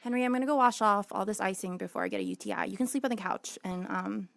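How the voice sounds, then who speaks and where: A woman's voice: medium pitch, quick pace, creaky, a bit bright, a little hiss or hum. A young woman playing a brash, hustling webcam dominatrix in a comedic audio drama, sassy, casual and bossy. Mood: matter-of-fact.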